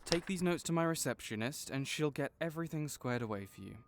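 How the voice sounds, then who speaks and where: A man playing a kind, patient veterinarian for magical creatures in a comforting audio roleplay, explaining things gently and encouragingly. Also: fairly high pitch, expressive, a little fast. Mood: practical, helpful. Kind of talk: comforting audio roleplay, one-sided conversation.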